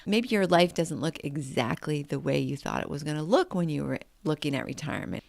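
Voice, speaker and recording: A woman's voice: low voice, expressive, fairly quick, vocal fry, a little mellow, no echo, clean studio sound. A woman in her late fifties hosting a podcast, talking in a chatty, conversational way in a motivational monologue. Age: 50s. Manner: chatty and conversational, with frequent "like" and "I mean". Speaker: podcast host.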